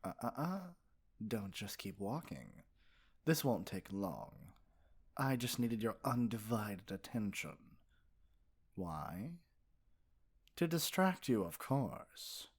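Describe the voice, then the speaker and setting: A man playing a pompous, easily exasperated supervillain in an ASMR roleplay, theatrical and sarcastic with a softer caring side. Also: fairly low pitch, big pitch swings, slow pace, long pauses, breathy edge, exaggerated voice. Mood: smug, taunting. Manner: pompous mock-grand monologuing that slips into flustered sarcasm. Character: theatrical gloating male supervillain, exasperated, secretly caring nemesis.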